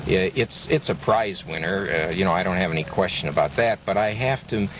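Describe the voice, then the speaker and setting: A man's voice: low voice, fairly quick. An older man telling stories in a conversational interview, earnest and emphatic, repeating phrases to drive a point home. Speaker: interview guest, classic car owner and restorer. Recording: noisy location recording with vehicle ambience.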